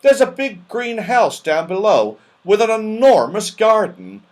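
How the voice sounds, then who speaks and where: A man's voice: sits high, very animated, unhurried, voice pushed up. A man voicing an anxious, earnest male fairy companion in a children's storybook, with emphatic delivery. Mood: earnest, instructing.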